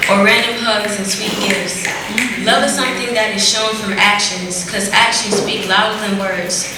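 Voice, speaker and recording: A fifteen-year-old girl performing a rhyming spoken word poem in a steady rhythm. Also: medium pitch. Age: teens. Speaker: teen poet. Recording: echoey hall from far off, noisy.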